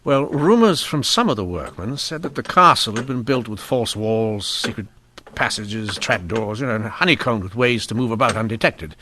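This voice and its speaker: An actor playing a shrewd, dryly witty police inspector in a radio mystery drama, speaking in a measured, deliberate way. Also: male voice, on the low side, lively intonation, pushed and bright, slight background noise. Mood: grave, confiding. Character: shrewd, dryly wry male police inspector in 1890s Canada. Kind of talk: old-time radio mystery drama acting.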